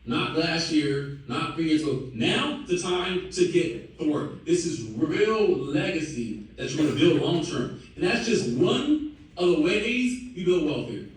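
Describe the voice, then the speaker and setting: A man's voice: high voice, heavy reverb, recorded from a distance, poor audio. A man in his thirties giving a hyped, slangy motivational talk to his team on a call. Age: 30s. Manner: hyped, repetitive, slangy and exclamatory. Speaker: company leader addressing a team call.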